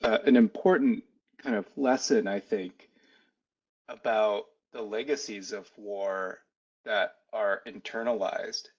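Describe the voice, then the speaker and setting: A man's voice: fairly low pitch, steady tone, slow pace, darkish tone, muffled recording. A man who is a history professor, hosting a webinar and speaking in a hesitant way, with many stammered restarts. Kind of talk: academic moderation. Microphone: video call.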